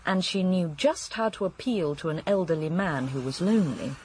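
An actress playing a candid, world-weary English widow in a radio drama, confiding and matter-of-fact. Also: female voice, on the low side, animated, normal speed, darkish tone, a little hiss or hum, natural voice. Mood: wry.

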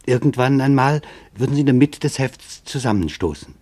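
An actor playing a grave, measured chronicler, narrating a German radio drama in a solemn, deliberate way. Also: male voice, mid-range voice, lively intonation, a little mellow, natural voice. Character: grave, measured male chronicler reporting witness testimony.

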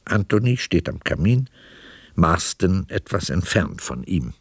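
Male narrator for radio drama: lowish voice, measured descriptive read, very dry close sound. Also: sing-song, slightly rough, no echo.

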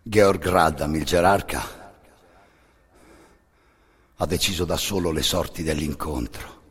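A man narrating a radio theatre monologue, intense and incantatory, with repeated words and dramatic pauses. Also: rumbling low, a bit bright, slightly rough. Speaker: actor narrating a stage monologue. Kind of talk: dramatic second-person storytelling, radio theatre. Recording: long reverberant hall sound.

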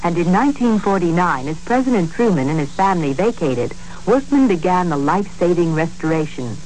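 A man's voice: high-pitched, big pitch swings, fairly quick, a little mellow. A man announcing on old radio, delivering scripted historical narration. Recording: noisy old radio recording.

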